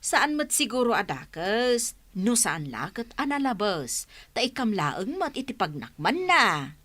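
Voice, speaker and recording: A woman's voice: fairly high pitch, very animated, measured pace, a bit bright, full character voice. A woman with an Ilocano accent playing a gossipy village friend who gives advice in a comedy radio drama, conversational and probing. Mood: gossipy, advising. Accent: Ilocano, northern Philippines.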